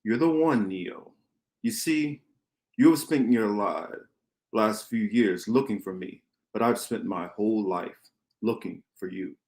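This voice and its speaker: A man plays a grave rebel leader in a radio play. The voice sits fairly low. The delivery is solemn and emphatic, with a cryptic air. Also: unhurried, a little mellow, lightly acted. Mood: grave, urgent. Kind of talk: amateur radio play script reading.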